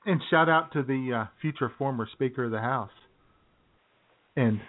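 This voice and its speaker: Wry male comedy radio co-host, medium pitch, loose repetitive banter, thin telephone-grade sound. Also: normal speed. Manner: wry, chuckling, repeats phrases. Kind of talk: casual political banter. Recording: tinny, narrow phone-like sound.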